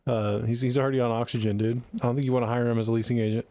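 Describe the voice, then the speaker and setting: A man's voice: medium pitch, flat delivery, racing, dark tone. Casual slangy man hosting a livestream. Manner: slangy, frequent swearing. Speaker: livestream host reviewing submitted music. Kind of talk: casual livestream chat and feedback.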